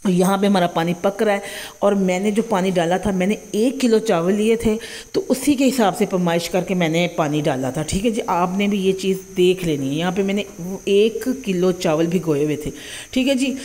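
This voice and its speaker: A woman's voice: on the low side, normal speed, darkish tone. A young woman with a Punjabi accent, hosting a home cooking tutorial in a chatty manner and often checking in with "theek hai ji". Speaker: cooking channel host. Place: home kitchen.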